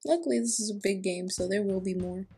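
A woman's voice: medium pitch, quick pace. A young adult woman giving casual reaction commentary as a video host, indoors at home. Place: small room at home, indoors. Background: game trailer music.

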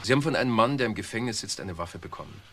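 A blunt police detective in a crime drama. His voice sits fairly low and swings in pitch as he speaks directly and insistently. There is faint noise behind him. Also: animated, rapid-fire. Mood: pressing.

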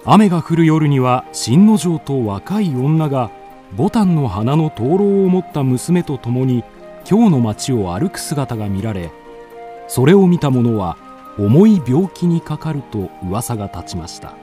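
A man's voice: medium pitch, very animated, a little slow, warm tone, faint music behind. A man narrating a scripted ghost story in a polite storytelling manner.

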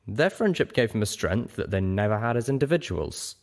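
Storybook dragon, performed by a man: huge, old, male, earnest and wistful, reflective delivery with wide-swinging pitch. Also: sing-song, brisk, creaky.

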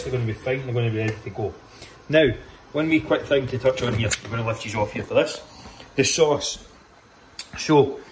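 A man's voice: medium pitch, a little hiss or hum. A young man talking casually as he walks through a meal-prep recipe in his home kitchen, upbeat and loose, filming on a phone at arm's length. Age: young adult. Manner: chatty, upbeat, loose with swearing. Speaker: fitness vlogger. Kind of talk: casual cooking walkthrough. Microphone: phone held at arm's length. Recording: echoey kitchen, faint clicks.

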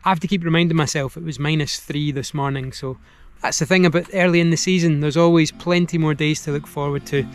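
A Scottish man in his thirties chatting casually to camera as a fishing vlogger, in a relaxed, thoughtful way with some hesitation. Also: fairly high pitch, fast, hardly any pauses. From Scotland. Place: outdoors on a grassy riverbank.

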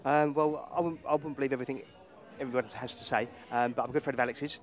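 A man's voice: mid-range voice, fairly even intonation, fast talker. A middle-aged man, a gym owner, chatting at a party in a casual interview, hesitating with many ums and repeated words. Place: indoor party venue. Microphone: handheld mic.

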